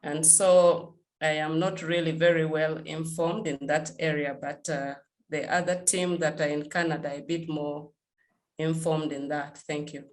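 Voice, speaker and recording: A woman from Uganda, a researcher speaking on an online panel in a measured way with frequent hesitations. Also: sits low, little pitch movement. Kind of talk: panel discussion.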